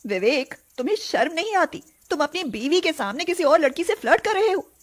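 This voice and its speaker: A woman's voice: sits high, very animated, brisk, pitched above the performer's own voice. A woman voicing a jealous, hot-tempered young wife in a family moral story, dramatic and imploring. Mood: indignant.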